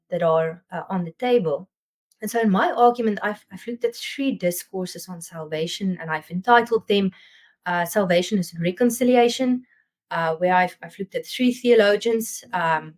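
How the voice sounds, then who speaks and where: A woman with a South African Afrikaans accent, a native Afrikaans speaker, giving an academic theology seminar talk over a video call in a thoughtful way, often pausing to search for words. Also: medium pitch. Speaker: theology lecturer.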